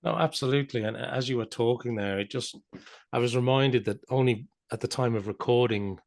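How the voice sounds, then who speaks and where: A man's voice: mid-range voice, a little fast, vocal fry, darkish tone. A man hosting a podcast interview in a conversational style, leading gradually and discursively into his questions.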